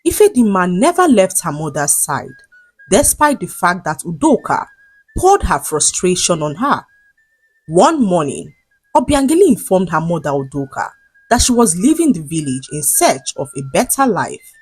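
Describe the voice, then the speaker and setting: A woman narrating a scripted folktale as a storyteller, with dramatic, measured delivery. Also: fairly low pitch, sing-song, slightly rough, no room sound, soft background music.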